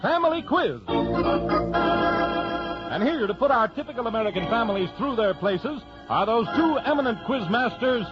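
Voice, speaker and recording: Casting: male old-time radio announcer, high voice over organ, muffled vintage sound. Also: animated, normal speed. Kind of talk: 1940s radio scripted announcing. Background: loud organ music.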